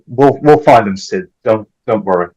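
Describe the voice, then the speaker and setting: A man's voice: fairly low pitch, expressive, normal speed, a little mellow, lo-fi sound. A man playing an earnest, slightly awkward young deputy in an amateur script read, hesitant and reassuring. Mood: concerned.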